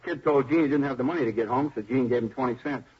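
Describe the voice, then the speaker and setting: Husky, chatty old-radio police sergeant. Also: male voice, mid-range voice, fast. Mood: wry, anecdotal. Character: chatty male police sergeant swapping station-house stories. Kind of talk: 1950s radio drama, naturalistic dialogue. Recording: dull 1950s radio recording.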